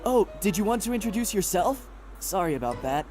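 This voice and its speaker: Friendly, clueless young guy played by a woman, animated. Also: medium pitch, fairly quick, soft background music, natural voice. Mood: puzzled. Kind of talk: voiced visual novel dialogue.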